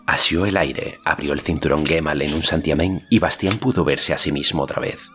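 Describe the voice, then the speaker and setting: A man's voice: a bass voice, moderate pace, loud music behind the voice. A man reading a fantasy novel aloud as scripted audiobook narration.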